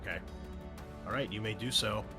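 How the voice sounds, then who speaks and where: A man running a tabletop game as game master, in a casual, relaxed way with frequent fillers. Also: on the low side, a little fast, soft background music.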